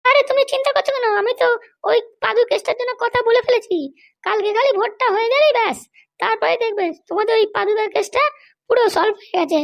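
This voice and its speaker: A woman's voice: very high-pitched, fast, creaky. A woman voicing a bossy, vengeful female politician and scolding wife in a comedy cartoon, haranguing and boastful.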